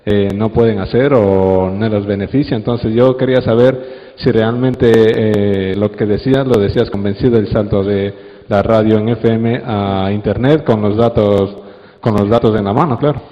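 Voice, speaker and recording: A man's voice: lowish voice, fairly even intonation, moderate pace. A man putting a spontaneous, roundabout question from the audience in a tentative way. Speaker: audience member asking a question. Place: large indoor congress hall. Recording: echoey hall, long reverb, dull sound.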